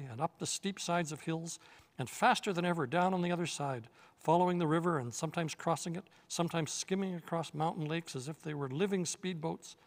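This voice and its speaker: An older man reads a storybook aloud in a measured, expressive way. His voice sits a little high for a man. It carries a long reverberant tail. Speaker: reader. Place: studio set with armchair.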